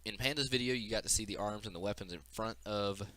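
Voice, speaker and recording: Low-voiced man explaining a game demo. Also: fast talker, pushed and bright, no room sound. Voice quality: audible breathing into the mic. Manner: explanatory, unscripted. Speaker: game developer presenting a demo. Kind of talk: informal screen-capture demo walkthrough.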